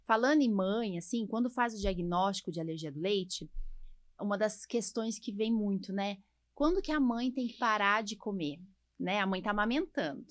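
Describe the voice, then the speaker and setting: A woman's voice: mid-range voice, lively intonation, normal speed. A Brazilian woman hosting a podcast as a doctor, explaining things colloquially and often checking for agreement. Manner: explanatory, colloquial, frequently seeking agreement with tags. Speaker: podcast host, allergist doctor. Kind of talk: conversational podcast discussion.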